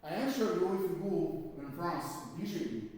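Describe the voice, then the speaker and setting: A Hungarian man with a Hungarian accent lecturing physics in English to university students, halting with many fillers and restarts and slipping in dry jokes. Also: sits high, lively intonation, darkish tone. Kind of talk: live classroom lecture. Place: large lecture hall. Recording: echoey lecture hall with long reverb.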